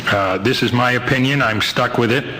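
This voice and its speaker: An older man with a New York accent giving a rambling, halting, wryly humorous personal testimony to a meeting, on a noisy old amateur tape. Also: mid-range voice. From Brooklyn, New York, United States. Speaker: guest speaker at a recovery meeting. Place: meeting hall, speaking to a group.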